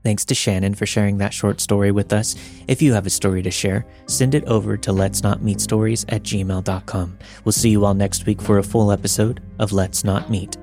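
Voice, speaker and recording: A man's voice: fairly low pitch, fairly even intonation, moderate pace, hardly any pauses, over music. A man hosting a podcast and reading a scripted true horror story as narrator.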